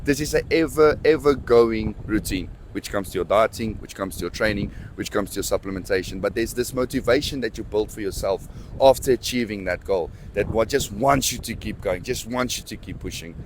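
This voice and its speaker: A man in his twenties, a bodybuilder, explaining his routine in a steady interview answer. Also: on the low side, brisk, slight background noise, rough recording. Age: 20s. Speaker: bodybuilding champion.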